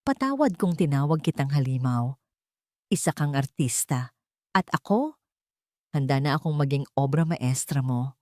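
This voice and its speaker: A woman's voice: extremely low pitch, animated, normal speed, long pauses, a little mellow, no room sound, clean studio sound. A woman voicing a captive bride who feigns broken, adoring surrender in an audio story, weak and submissive. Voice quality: cracked, weak. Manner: submissive, pleading.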